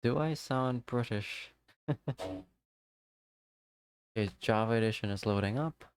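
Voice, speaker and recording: A man's voice: medium pitch, unhurried, clean tone. A man streaming a game live, chatting casually with viewers and talking to himself as he plays. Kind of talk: casual live-stream chat while gaming.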